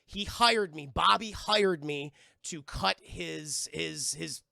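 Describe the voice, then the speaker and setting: A man acts out a nervous hired criminal in a told story. He stammers and justifies himself defensively, in a high, gravelly voice. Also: takes it slowly, sharp tone, dry recording, clean studio sound, full character voice.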